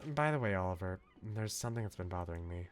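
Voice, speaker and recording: A man's voice: sits low, animated, quick pace, voice pushed down. A man voicing an anxious, frustrated young woman from a visual novel in an earnest, pleading dramatic reading. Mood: weary, earnest. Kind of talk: dramatic reading of game dialogue. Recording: game music behind the voice.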